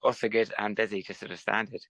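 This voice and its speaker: Deep-voiced male podcast host, dry sound. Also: steady tone, fairly bright tone, no echo. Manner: polite, measured questioning. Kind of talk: interview host.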